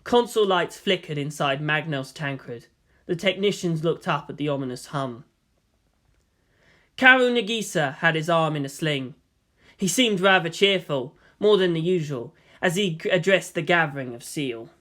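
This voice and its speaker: A man's voice: fairly high pitch, lively intonation, normal speed, fairly bright tone. A man reading fiction aloud as narrator, in a dramatic scripted style. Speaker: story narrator.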